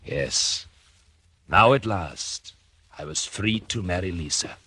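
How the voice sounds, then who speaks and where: An American actor playing a calculating, murderous doctor in an intense, deliberate first-person narration for a 1940s radio suspense drama. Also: male voice, sits low, lively intonation, pushed and bright, husky, no room sound, full character voice. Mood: smug, gloating. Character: calculating murderous husband, a doctor, smug then horrified. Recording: 1940s radio recording with music behind.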